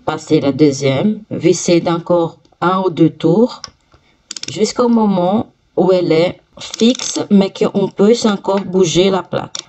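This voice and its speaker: A woman with a cold, her voice stuffy, slowly talking viewers through each step of fitting a part on a sewing machine. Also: lowish voice, mellow. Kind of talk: step-by-step workshop demonstration.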